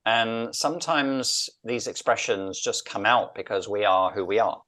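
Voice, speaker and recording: Middle-aged English male coach, low voice, southern British accent, reflective and emphatic interview delivery. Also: measured pace, pushed and bright. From London, England. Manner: earnest, emphatic, repeats phrases for effect. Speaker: interview guest, executive coach.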